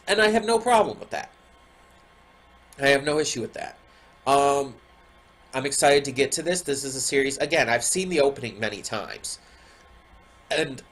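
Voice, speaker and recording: Casual reaction host, male, mid pitch, stuffed-up nose, rambly with frequent ums. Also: a bit bright. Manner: rambling, many ums and restarts. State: stuffy nose, slight sore throat. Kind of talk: casual chat to camera. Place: home room with a window.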